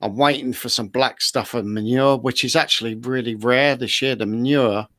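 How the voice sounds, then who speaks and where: A man's voice: medium pitch, steady tone, moderate pace, a bit bright, no room sound, studio quality. A man from England, chatting casually and rambling along as a co-host.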